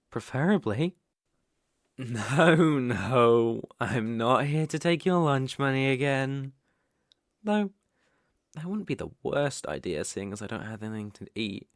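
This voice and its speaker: Male actor as British college bully, ASMR roleplay, taunting, cocky, unhurried delivery, mid-pitched, dry close sound. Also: no room sound. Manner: teasing, condescending, swaggering.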